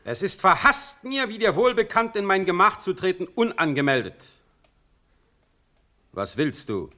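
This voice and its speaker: An actor playing an imperious, composed Prussian ruler in a classical verse radio drama, curt and full of authority. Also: male voice, high-pitched, very animated, unhurried, sharp tone, full character voice. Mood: stern, composed.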